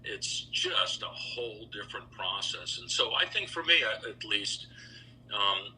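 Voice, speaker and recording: A middle-aged man telling stories over a video call, relaxed and rambling with lots of fillers. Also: on the low side, measured pace, slight background noise, low-quality recording. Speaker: interview guest, founder of a choral institute. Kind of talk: casual storytelling interview.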